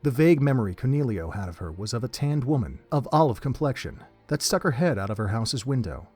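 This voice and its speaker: A man narrating a scripted story with an expressive, lilting delivery. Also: mid-range voice, quick pace, slightly rough. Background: faint music.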